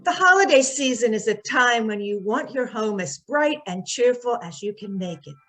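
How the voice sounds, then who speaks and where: A woman's voice: fairly high pitch, expressive, normal speed, a bit bright, soft background music. A woman announcer reading scripted narration in an old-time radio show style.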